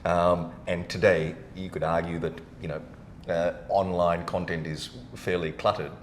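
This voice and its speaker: A man's voice: low-pitched, little pitch movement, measured pace, echoey room, slight background noise. A man hosting a casual podcast interview, putting questions to his guest. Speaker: interview host.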